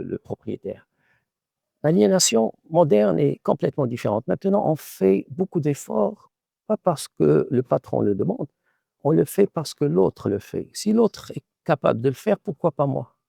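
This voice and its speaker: A middle-aged Moroccan-born man whose first language is Arabic speaks in a deeply gravelly voice. He answers an interviewer thoughtfully, circling and repeating words as he works out his point. The recording is dry, with no room sound. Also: mellow, no echo. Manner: thoughtful, repeats words while searching for phrasing. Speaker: author and professor.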